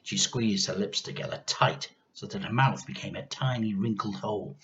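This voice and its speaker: A man reading a children's story aloud in an expressive, theatrical narrating style. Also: fairly low pitch, moderate pace, dull audio.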